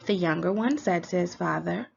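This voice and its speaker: A woman reads a story aloud for a class in a voice with an audible creak. She is expressive, like a teacher leading a read-along. The recording is dull, with faint noise behind her. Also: lowish voice, moderate pace. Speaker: teacher reading aloud to students.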